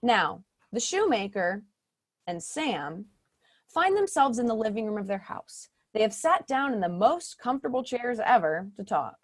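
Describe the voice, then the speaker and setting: A woman's voice: a little high, sing-song, normal speed. A woman narrating a stage fairy tale in a wry storytelling way.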